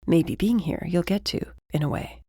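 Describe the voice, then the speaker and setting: A woman voicing a protective young man with a muted, laconic, low-key manner in an audiobook. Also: normal speed, dark tone, breathy edge, dry recording, clean studio sound. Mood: gentle.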